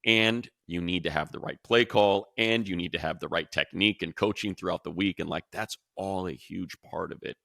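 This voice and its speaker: A man's voice: sits low, moderate pace, gravelly, hardly any pauses, pushed and bright, dry recording, clean studio sound. A man hosting a casual sports podcast, explaining his analysis emphatically and repeating words to stress his points.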